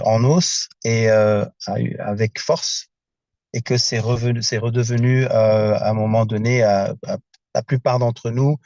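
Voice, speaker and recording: A man speaking from inside a car on a video call, presenting his project in a casual, halting way as he searches for words in a language that is not his first. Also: mid-range voice, a little mellow, no room sound, highs cut off.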